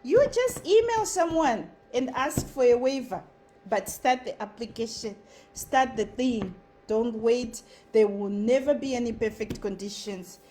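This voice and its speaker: A woman's voice: a little high, measured pace, dark tone, slight background noise, low-quality recording. A woman with a Zimbabwean accent giving an earnest motivational talk as a content creator. She drives her points home by repeating short phrases.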